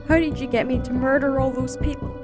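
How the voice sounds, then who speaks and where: Woman acting high-voiced, distressed young student, loud music. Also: fairly even intonation, quick pace, natural voice. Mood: shocked. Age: young adult. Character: distressed young female college student, defiant but frightened. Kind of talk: amateur audio drama acting.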